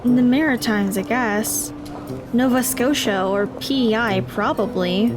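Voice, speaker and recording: A woman playing an earnest, literal-minded high school girl in an audio drama. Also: measured pace, over music, lightly acted. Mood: matter-of-fact.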